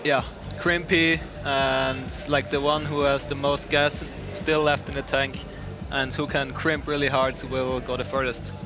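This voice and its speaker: A man's voice: mid-range voice, little pitch movement, unhurried, hardly any pauses. A man with an Austrian accent giving casual live sports co-commentary. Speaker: co-commentator and competition climber. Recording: music playing behind the voice.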